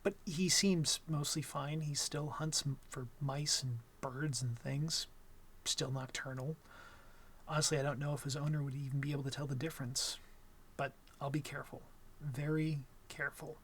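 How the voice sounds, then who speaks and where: A man playing a shy, awkward young vampire in an intimate ASMR roleplay, gentle and smitten, speaking softly and hesitantly with stammers and apologies. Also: fairly high pitch, fairly even intonation, moderate pace, a little hiss or hum, natural voice. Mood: hesitant, reassuring.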